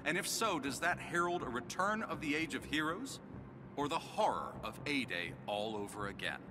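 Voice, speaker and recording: A man's voice: fairly high pitch, big pitch swings, normal speed, background noise. A man playing an earnest television news reporter delivering a dramatic live report in a video game. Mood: grave, urgent.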